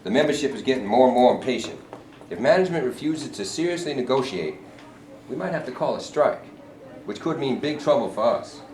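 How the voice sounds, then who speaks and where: A man playing a cautious, pragmatic union president in a staged reading, with halting delivery. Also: medium pitch, lively intonation, a little mellow, lightly acted. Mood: measured, wary. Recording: echoey room, faint noise.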